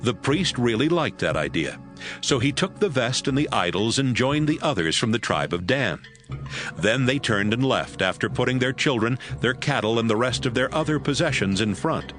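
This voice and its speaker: A man narrating scripture in a steady, measured way. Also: mid-range voice, soft background music. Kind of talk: scripted audio Bible narration.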